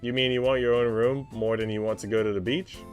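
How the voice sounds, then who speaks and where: A man voicing a calm, teasing grown-up in a visual novel, gently firm and playful with a child. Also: medium pitch, fast, faint music behind, lightly acted.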